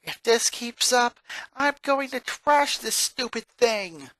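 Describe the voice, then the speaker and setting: A very high, sharp-edged young schoolboy voice, acted by a man. He is whiny and put-upon, an exasperated, anxious cartoon kid who trips over words when nervous. The sound is dry and close. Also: lively intonation, takes it slowly, dry recording, heavily acted. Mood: grumbling, fed up. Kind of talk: dramatic fan fiction reading.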